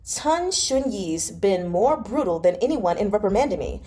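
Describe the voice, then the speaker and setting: Woman voicing a sulky, mellow-toned nobleman. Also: medium pitch, big pitch swings, normal speed, close to an everyday voice. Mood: sullen, irritated. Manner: grumbling, dismissive. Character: sulky, reluctant nobleman, a new father. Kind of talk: dramatized audiobook-style reading of a novel.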